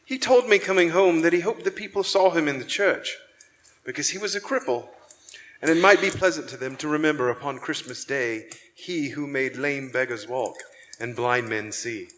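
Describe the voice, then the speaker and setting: Victorian dad male actor, radio play: humble, tender, highish voice, unhurried, roomy hall reverb. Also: natural voice. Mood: tender, moved. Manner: tender, expressive stage delivery. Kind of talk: live radio-play acting of a Victorian story. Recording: reverberant church hall with some noise.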